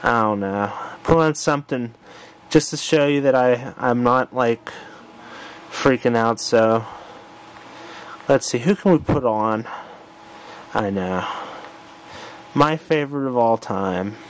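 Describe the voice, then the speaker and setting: A man casually demonstrating a home electronics project, unhurried and thinking aloud with fillers, filmed on a handheld camera in a room at home. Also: mid-range voice, slight background noise, rough recording. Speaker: tutorial presenter. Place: home room with old radio.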